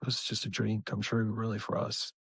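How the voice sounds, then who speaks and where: A man's voice: fairly low pitch, monotone, brisk, creaky, a bit bright, husky, no echo. An American man talking casually as an interview guest, earnest and rambling, often repeating a phrase as he tells his story. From United States. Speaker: rock musician, interview guest.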